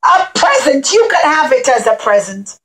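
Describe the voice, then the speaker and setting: A woman voicing a showy, slyly generous male landowner in a loud, exaggerated puppet-show manner. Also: sits high, sing-song, moderate pace. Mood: showily generous. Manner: loud, exaggerated, drawling offers.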